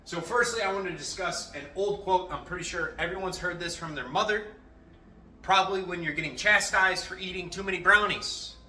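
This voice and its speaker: A young man giving a casual, rambling motivational talk to his viewers, cursing freely and animated. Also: high-pitched, brisk, a little hiss or hum. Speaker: motivational vlogger. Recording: echoey room, faint music.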